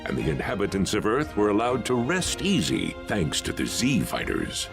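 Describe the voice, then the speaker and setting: A man narrating a heroic video game story in a solemn, measured, dramatic delivery. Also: lowish voice, wildly swooping pitch, vocal fry, music in the background, exaggerated voice. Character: solemn adult male narrator of heroic tales.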